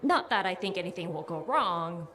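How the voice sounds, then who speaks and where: An actress playing a practical, plain-spoken woman who hands out contracts in a video game, brisk and businesslike. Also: on the low side, expressive, bright, forceful tone, a little hiss or hum. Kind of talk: video game character dialogue.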